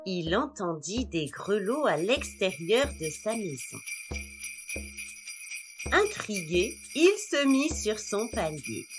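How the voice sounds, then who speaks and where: A woman narrating children's stories in an expressive storytelling style. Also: medium pitch, slow pace, music in the background.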